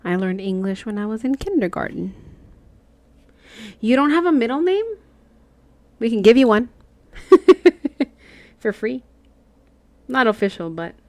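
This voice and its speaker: A woman's voice: fairly high pitch, sing-song, measured pace, pauses often, a little mellow, no echo. A Mexican American woman whose first language is Spanish, chatting casually with her live chat while she stitches and laughing often. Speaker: cross-stitch livestream host.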